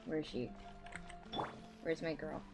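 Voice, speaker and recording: A woman chatting casually while playing a video game, bubbly and giggly, her voice a little hoarse from singing strain. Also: sits low, steady tone, measured pace, music in the background. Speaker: streamer. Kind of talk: casual let's play chat. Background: game audio.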